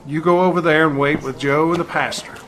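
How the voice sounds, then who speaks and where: A man playing a calm, affectionate father in a radio drama. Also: on the high side, brisk, a little hiss or hum, lightly acted, poor audio.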